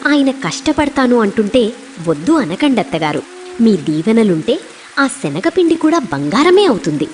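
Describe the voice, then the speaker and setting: A woman's voice: a little high, big pitch swings, normal speed, darkish tone, slight background noise. A woman playing a supportive, respectful young village wife and daughter-in-law in a moral story. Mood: persuasive, respectful. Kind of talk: acted dialogue in a moral story.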